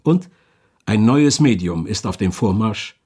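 Low, raspy man narrating. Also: animated, a little slow, a little mellow. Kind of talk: scripted radio documentary narration.

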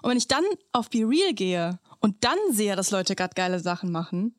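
A woman's voice: medium pitch, animated, moderate pace, dry recording, clean studio sound. A woman co-hosting a podcast, chatting informally with slangy English words mixed in. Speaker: podcast co-host. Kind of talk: casual chat between co-hosts.

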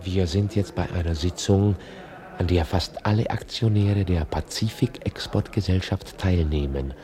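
A man narrating a scripted radio drama with dry, measured irony. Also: deep voice, dark tone.

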